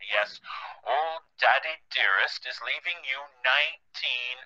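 An actor playing a mocking, theatrical dead father leaving a slow, gloating taped message in a horror audio drama. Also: medium pitch, bright, forceful tone. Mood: taunting. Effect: old tape recorder filter. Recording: muffled lo-fi tape recorder playback.

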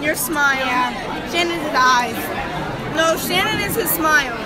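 A woman's voice: high voice, measured pace, a loud crowd, very noisy. A teenage girl answering questions in a busy school cafeteria, joking around. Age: teens. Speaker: interviewee. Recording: loud crowded cafeteria.

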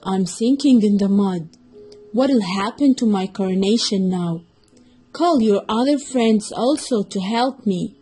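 Storybook elephant, played by a woman: huge arrogant male, husky, loud delivery. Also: medium pitch, unhurried. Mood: scared, pleading. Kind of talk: children's storybook reading.

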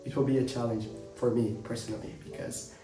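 A man in his twenties from Brazil with a Portuguese accent, speaking English haltingly and earnestly in a casual vlog from his home office. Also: mid-range voice, little pitch movement, mellow. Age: 20s. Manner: earnest, halting, searching for words. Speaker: vlogger. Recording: roomy, a bit distant, music behind.

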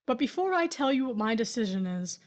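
A woman playing a wronged widow and mother of grown children in an audio drama, earnest, measured and firm but torn. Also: fairly high pitch, very animated. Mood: resolved, polite.

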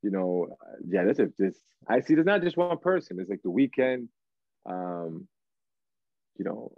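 A young man from Toronto, Canada, chatting casually as an interview guest, halting and repeating words as he thinks, over a video call. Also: on the low side, expressive, no room sound. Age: young adult. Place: indoor room at home, video call. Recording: narrow, phone-like video call audio.

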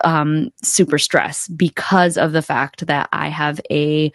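An American woman talks casually, sharing advice as a guest on a podcast. Her pitch is a bit on the low side. She is close to the microphone, with a very dry sound. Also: steady tone, slow pace, dry recording. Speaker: podcast guest, accountability coach. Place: home room indoors, video call.

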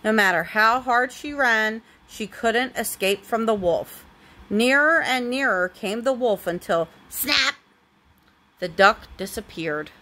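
A woman's voice: a little high, animated, takes it slowly, bright, forceful tone. A woman reading a storybook aloud expressively to a young child, as a parent does at bedtime.

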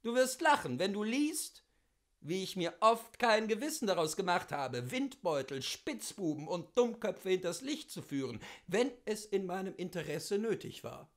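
A man giving an expressive, theatrical reading of a literary memoir, with deliberate phrasing and emphatic pauses. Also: high-pitched. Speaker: reader of literature.

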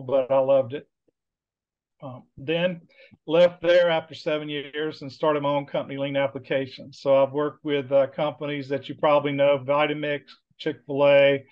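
A middle-aged man from Georgia with a Southern accent, talking casually as an interview guest over a video call, with many fillers. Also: fairly high pitch. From Georgia, USA. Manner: friendly, rambling with many fillers. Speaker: guest, business consultant. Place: home office with bookshelves. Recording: dull lo-fi video call audio.

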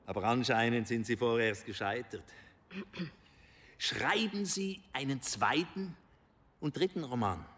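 An older banker in a radio drama. His voice is husky and very animated in pitch, persuading with patronizing, measured phrases. It rings in a reverberant hall. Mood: persuasive.

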